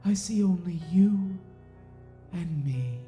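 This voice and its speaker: An actor playing a stern, accusatory seventeenth-century sheriff in an audio drama, speaking stiffly and formally. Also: male voice, sits high, lively intonation, warm tone, faint music behind. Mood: accusing, menacing. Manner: stiff, formal, halting, deliberate. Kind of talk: period audio drama acting.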